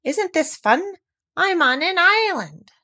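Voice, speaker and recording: An earnest young boy, acted out by a woman reading a storybook, pitched high. He is affectionate and excitable, speaking in eager exclamations and anxious questions. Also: slow pace, heavily acted. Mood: cheerful. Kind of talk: children's storybook character voice.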